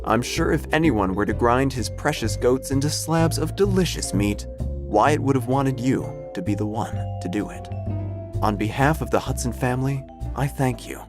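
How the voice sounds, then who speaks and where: Guy acting as quirky obituary writer narrating a comedy podcast drama, deadpan n theatrical, voice swings up and down, loud music behind. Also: mid-range voice, normal speed, lightly acted. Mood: dry, formal. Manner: deadpan, theatrical, precisely enunciated. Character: quirky small-town male obituary writer, solemnly absurd, nosy.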